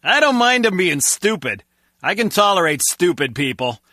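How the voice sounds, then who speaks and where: A man's voice: sits high, sing-song, moderate pace, bright, forceful tone, dry recording, studio quality, heavily acted. An actor playing an exasperated henchman venting in comic video game dialogue.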